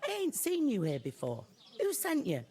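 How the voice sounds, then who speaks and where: An actress playing a gruff, blunt medieval tavern keeper who scolds her guests. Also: a little high, huge pitch swings, moderate pace, warm tone, full character voice. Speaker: innkeeper.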